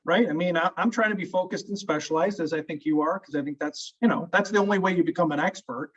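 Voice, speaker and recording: Male attorney host, somewhat high voice, set back from the mic, dull sound; chatty and halting. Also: breathy edge, recorded from a distance. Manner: rambling, stop-start, friendly. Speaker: family law attorney host. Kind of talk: casual interview conversation. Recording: muffled audio from off-mic.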